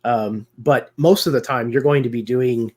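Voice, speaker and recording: A man appearing as a guest performer on a video call, speaking thoughtfully with many pauses about roleplaying games. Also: mid-range voice, steady tone, darkish tone, slightly rough. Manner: thoughtful, lots of um fillers. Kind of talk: casual discussion of roleplaying games.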